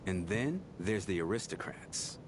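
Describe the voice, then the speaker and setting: A man's voice: deep voice, animated, unhurried, background noise. A man voicing a wry, teasing storyteller in a video game, with a dry, sardonic manner. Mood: wry, mocking.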